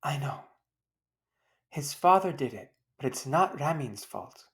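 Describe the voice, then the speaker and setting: A woman's voice: a bass voice, expressive, unhurried, exaggerated voice. A woman voicing a firm, wry mother who scolds and reasons with children in a storybook. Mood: forgiving, firm. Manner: pointed questions, firm instructions.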